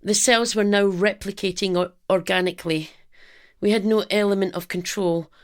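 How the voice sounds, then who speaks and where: A woman playing an earnest, clinical research scientist in a radio drama, formal and measured with some anxiety underneath. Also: medium pitch, fairly even intonation, lightly acted.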